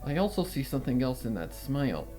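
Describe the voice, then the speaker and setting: A man narrates scripted fan fiction in the first person. His voice sits at an average pitch for a man. Soft music plays behind the reading. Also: big pitch swings, fast. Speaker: story reader.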